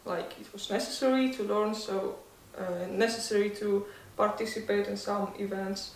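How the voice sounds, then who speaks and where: A woman's voice: mid-range voice, steady tone, moderate pace. A woman in her twenties with a Russian accent, answering interview questions in a hesitant, thoughtful way, laughing often. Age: 20s. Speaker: interview guest. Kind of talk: casual interview. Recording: echoey room, mic at a distance.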